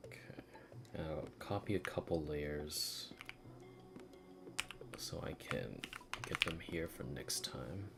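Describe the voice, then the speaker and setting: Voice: extremely low pitch, lively intonation, takes it slowly, vocal fry, pauses often, in a whisper. A game streamer chatting casually on a livestream, sometimes gushing and sometimes murmuring to themself while working. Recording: music playing behind the voice.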